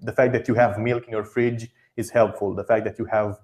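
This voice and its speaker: A man hosting an interview, giving direct, encouraging advice in quick bursts, often repeating words as he talks. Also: fairly low pitch, level tone, darkish tone. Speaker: podcast host.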